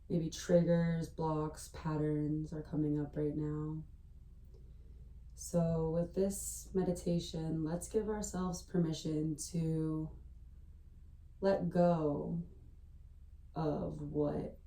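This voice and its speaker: A woman guides a meditation slowly and soothingly, with a very mellow, dark voice that barely moves in pitch. Her delivery is gentle and hushed, with long pauses. She sounds slightly distant in an echoey room. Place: indoors at a family home.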